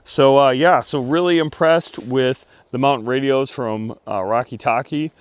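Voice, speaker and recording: A man giving a casual outdoor gear review, speaking in a halting way as he thinks aloud. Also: mid-range voice, steady tone, smooth, no room sound.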